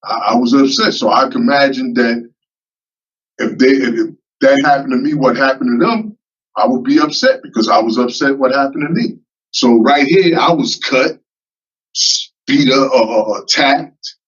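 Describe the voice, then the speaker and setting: A man in his fifties at a parole hearing, speaking haltingly with many fillers and repeats. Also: medium pitch, fairly bright tone, slightly rough. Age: 50s. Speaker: parole applicant. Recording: muffled distant remote-call audio.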